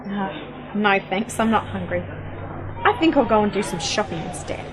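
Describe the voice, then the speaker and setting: A woman's voice: mid-range voice, moderate pace, crowd noise, natural voice, poor audio. A woman playing a spirited, inquisitive and headstrong young woman in an amateur science-fiction radio play. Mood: curious, casual.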